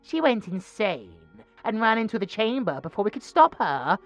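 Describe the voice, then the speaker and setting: A man's voice: high-pitched, sing-song, fairly bright tone, soft background music, full character voice. A British man playing a smug, cruel mad scientist in a horror audio roleplay, with a mocking, snobbish manner. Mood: mock regretful. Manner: mocking, snobbish, drawn-out musing.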